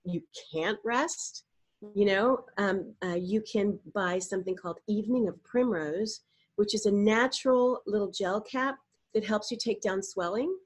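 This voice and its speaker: A middle-aged woman, a voice coach, giving animated, explanatory answers in a podcast interview and sometimes demonstrating vocal exercises. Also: mid-range voice, unhurried.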